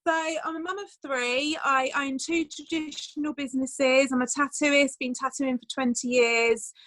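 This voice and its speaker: A woman's voice: high voice, fairly even intonation, moderate pace, fairly bright tone, slight background noise, poor audio. A woman, a guest speaker, telling her own story on a video call, shy and giggly.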